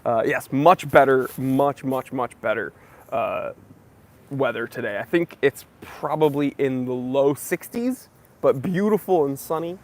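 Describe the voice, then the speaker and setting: A man's voice: medium pitch, measured pace. A young American man hosting a casual live Q&A stream, greeting viewers by name and joking around, a little wheezy from a recent cough. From United States. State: slightly wheezy, recovering from a cough. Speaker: livestream host. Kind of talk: casual live Q&A chat, reading comments.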